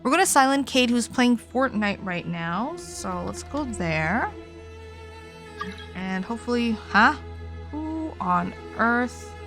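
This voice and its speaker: A woman's voice: fairly high pitch, big pitch swings, takes it slowly, a bit bright. A tired woman livestreamer chatting casually while gaming, informal and rambling, often trailing off. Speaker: live streamer. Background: game music.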